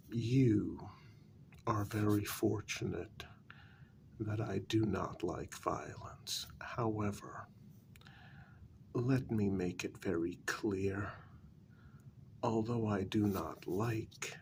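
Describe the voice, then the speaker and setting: A man playing an urbane, weary, menacing old vampire in a soft, half-whispered ASMR role-play, speaking with deliberate, formal politeness. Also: fairly low pitch, a little hiss or hum. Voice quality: breathy, half-whispered, gravelly.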